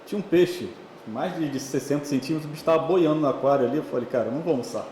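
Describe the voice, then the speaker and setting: Um homem convidado de um podcast, atleta de tiro com arco, contando histórias em conversa descontraída e cheia de vícios de linguagem. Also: male voice, on the high side, darkish tone, reverberant, a little hiss or hum. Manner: fast, chatty, storytelling with filler words. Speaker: podcast guest, archery athlete. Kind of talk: casual interview chat.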